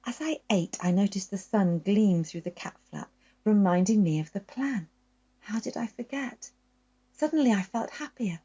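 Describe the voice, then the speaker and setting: A woman reading a children's story aloud in a gentle, expressive storytelling voice, telling it in the first person as a dog. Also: mid-range voice, moderate pace, breathy edge, lo-fi sound.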